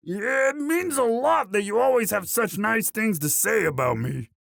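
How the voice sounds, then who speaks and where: A man voicing a bashful, devoted himbo orc boyfriend in a romantic audio roleplay, halting and stammering sheepishly. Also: sits high, expressive, vocal fry, fairly bright tone, no echo, studio quality, heavily acted. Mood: bashful, sincere.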